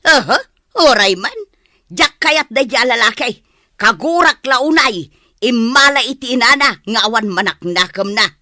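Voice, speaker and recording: A woman plays a disapproving, protective village mother in a radio comedy. Her voice is fairly high and piercing, emphatic and grumbling, recorded dry. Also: lively intonation, a little slow, pushed and bright, no echo. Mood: stern, disapproving. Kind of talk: Ilocano radio comedy drama acting.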